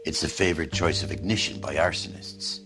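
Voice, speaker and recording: An actor playing a world-weary police arson investigator in a video game, terse, blunt and gruff. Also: male voice, rumbling low, brisk, music in the background. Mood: gruff, knowing. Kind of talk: dramatic video game acting.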